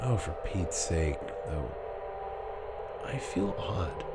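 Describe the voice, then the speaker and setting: A man's voice: deep voice, expressive, takes it slowly. A man in an audio play acting a pompous, irritable middle-aged scientist with a stern, formal, exasperated manner. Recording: noisy bed of night ambience, crickets.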